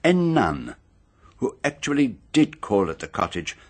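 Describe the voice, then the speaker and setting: An actor playing a shrewd, composed detective in a radio drama, deliberate and precise, with dramatic pauses. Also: male voice, medium pitch, huge pitch swings, darkish tone, breathy edge, heavily acted. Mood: grave, methodical. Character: shrewd, composed male detective laying out a murder case.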